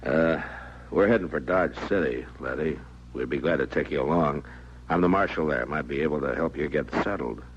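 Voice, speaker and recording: An actor with an American accent playing a grave, steady frontier marshal in an old-time radio western. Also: male voice, extremely low pitch, normal speed, husky. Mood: calm, concerned. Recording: muffled, noisy old radio recording.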